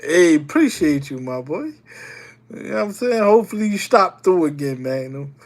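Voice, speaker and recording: Young male streamer, a bit high-pitched, still getting over a cold, casual and rambling with chat. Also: sing-song, normal speed. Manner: loose, rambling, greets chat by name. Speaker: livestreamer. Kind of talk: casual livestream chat with viewers.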